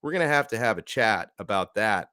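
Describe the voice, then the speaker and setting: A man hosting a college football podcast, talking casually as he runs through figures and drops quick asides. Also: on the low side, animated, pushed and bright, clean tone, dry recording, studio quality. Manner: conversational, punctuates lists with asides. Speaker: sports podcast host. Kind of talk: casual sports talk, reading through figures.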